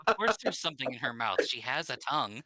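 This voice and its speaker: A man's voice: medium pitch, sing-song, quick pace, bright, forceful tone, no echo, clean studio sound. A man making wry jokes in casual group stream chat. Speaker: participant in a group livestream.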